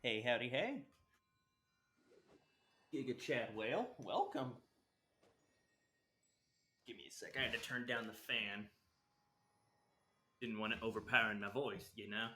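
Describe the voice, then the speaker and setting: Animated male game streamer, bright echoey voice. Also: medium pitch, a little slow, pauses often. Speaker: game livestreamer. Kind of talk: casual livestream chat while gaming.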